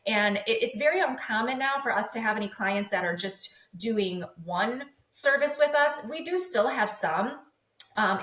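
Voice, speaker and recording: A woman's voice: mid-range voice, a bit bright. A woman talking as an interview guest in a talkative, emphatic, explanatory way, in casual conversation. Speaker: podcast guest, communications agency executive. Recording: roomy echo.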